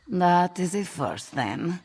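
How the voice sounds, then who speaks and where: A woman's voice: lowish voice, darkish tone, husky, full character voice. A woman voicing a hardworking, long-suffering cat woman in a video game, scolding and exasperated. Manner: scolding, long-suffering, speaks of herself in third person. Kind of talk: AI-voiced video game quest dialogue.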